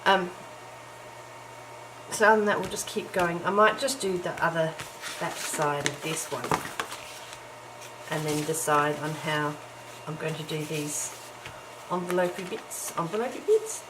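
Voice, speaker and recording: Middle-aged woman, low croaky morning voice, chatty crafting vlogger full of ums and little laughs, camera-mic sound with audible hiss. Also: a little slow. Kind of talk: casual chat while crafting. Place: home, early morning. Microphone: camera's built-in mic. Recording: noisy home camera recording.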